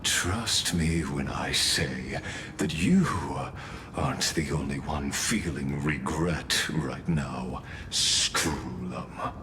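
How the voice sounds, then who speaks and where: A man's voice: a bass voice, background noise, heavily acted. An actor playing a cold, grandiose male villain in a video game, speaking formally and with condescension. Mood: cold defiance. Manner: slow, formal, theatrical threats. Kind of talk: scripted video game acting.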